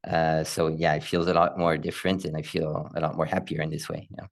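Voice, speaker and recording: Deep-voiced man speaking haltingly. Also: level tone, gravelly. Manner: hesitant, halting with many restarts and laughs. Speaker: coaching client. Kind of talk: testimonial interview.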